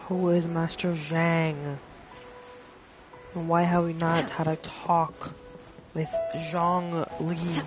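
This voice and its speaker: A man's voice: a little high, flat delivery, slow, long pauses, dull audio, faint music behind. A young man doing casual playthrough commentary full of slang and swearing, repeating himself in mock outrage. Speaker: game streamer.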